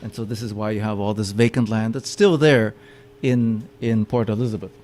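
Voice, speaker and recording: A man's voice: fairly low pitch, steady tone, moderate pace, darkish tone. A man giving a public lecture with slides, often stopping to repeat his words as he explains. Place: meeting room with audience.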